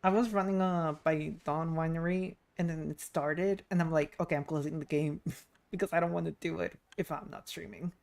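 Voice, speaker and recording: A woman's voice: low voice, fairly even intonation, normal speed, creaky, darkish tone. A woman chatting casually and informally as she livestreams a game, rambling from one thought to the next. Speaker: VTuber game streamer. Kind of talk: casual livestream chat while playing a game.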